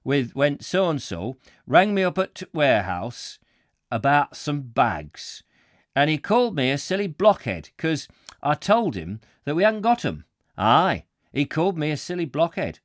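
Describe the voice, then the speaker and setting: A man acts out an older Yorkshire warehouseman from a storybook. He speaks in broad dialect, stubborn and matey, with deliberate, aggressive emphasis. The voice sits high and is recorded dry and close. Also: big pitch swings, no room sound. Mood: indignant, emphatic. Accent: Yorkshire, put on. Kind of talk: acted dialogue in a book reading.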